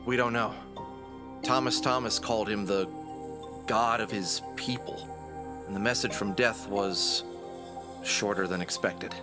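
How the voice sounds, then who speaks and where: A man playing an earnest adventurer who leads a gang through a grim crisis, briefing them seriously and deliberately in a fantasy audio drama. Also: lowish voice, fairly even intonation, music in the background, close to an everyday voice. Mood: grave, urgent.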